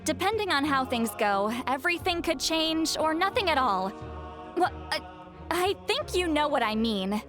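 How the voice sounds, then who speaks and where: A woman's voice: sits high, very animated, normal speed. An actress playing a young woman shaman who speaks earnestly and worries easily, in a video game. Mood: worried. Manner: worried, earnest, a touch formal. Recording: game audio over soft music.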